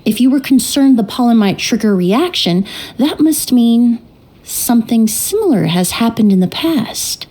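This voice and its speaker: A woman voicing a calm, courteous young perfumer in a video game, speaking in a poised, explanatory way. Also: fairly high pitch, moderate pace. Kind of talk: game voice acting. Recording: music behind voice.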